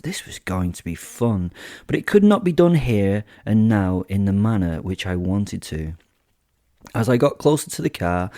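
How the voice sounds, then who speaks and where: A man's voice: fairly low pitch, dark tone, no echo, studio quality, natural voice. A man voicing a sadistic werewolf killer, narrating a horror audio drama in a theatrical, savouring, wordy way. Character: sadistic gloating male werewolf killer telling his hunt.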